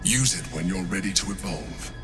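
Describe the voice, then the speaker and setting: A man playing a sinister, tempting otherworldly benefactor in a video game, measured and ominous, with eerie music underneath. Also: deep voice, expressive. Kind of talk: video game character dialogue.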